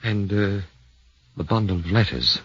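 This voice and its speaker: An actor playing an earnest, flustered defense lawyer who speaks hesitantly, in a 1940s radio drama. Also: male voice, sits low, expressive, measured pace, slightly rough, no room sound, heavily acted. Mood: shocked. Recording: dull lo-fi 1940s radio broadcast.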